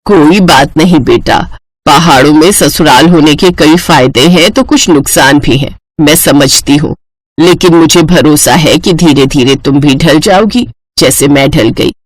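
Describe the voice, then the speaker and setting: A woman voicing a calm, firm, middle-aged mother-in-law in a cartoon story. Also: low voice, measured pace, no echo, voice pushed down. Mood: gentle, understanding.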